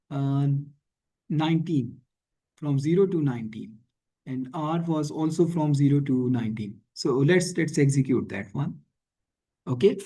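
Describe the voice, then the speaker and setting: A man teaching in a screencast tutorial, thinking aloud haltingly and often checking in with a questioning tag. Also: on the high side, mellow, low-quality recording. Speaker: instructor. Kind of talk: screencast programming and statistics tutorial.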